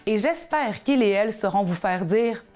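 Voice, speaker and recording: A woman, reading a scripted, reflective narration in a measured way. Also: mid-range voice, lively intonation, darkish tone, soft background music. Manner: measured, thoughtful voice-over.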